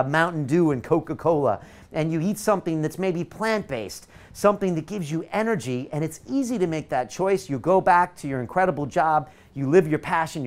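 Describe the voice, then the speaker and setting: A man from California, hosting an online masterclass and leading a motivational guided visualization with eager, coaxing energy. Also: a little high.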